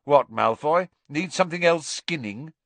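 A man voicing a loyal, hot-headed teenage schoolboy in a storybook, rough and combative in manner. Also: mid-range voice, lively intonation, fairly quick, bright, forceful tone, no room sound, voice pushed up. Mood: challenging.

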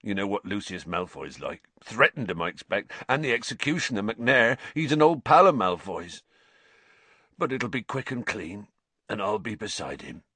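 Storybook gentle giant read by a man: rustic, halting, heavy-hearted, expressive pitch, close and dry. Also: husky, no room sound, heavily acted. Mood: helpless, resigned. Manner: halting, rustic dialect phrasing. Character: huge, shaggy, gentle, grieving rustic man.

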